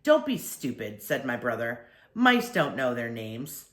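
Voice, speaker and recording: An older woman reading as a know-it-all older brother in a storybook. He is scornful and dismissive, in a low voice, with a roomy echo around it. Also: sing-song, unhurried, fairly bright tone, exaggerated voice.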